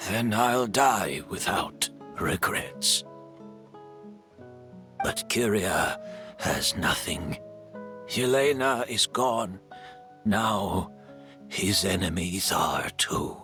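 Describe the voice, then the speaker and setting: A slow, soft-spoken resigned mentor. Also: male voice, medium pitch, expressive, faint music behind, exaggerated voice. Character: gentle aging scholar, a teacher facing death. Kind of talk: game dialogue.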